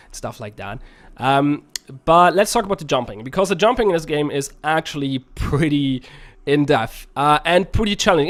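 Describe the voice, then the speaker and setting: A young man, a little sick, casually commenting on a game as he plays, rambling and correcting himself often. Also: mid-range voice. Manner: rambling, self-correcting, laughs at own plays. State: a little sick, voice slightly rough. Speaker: game reviewer.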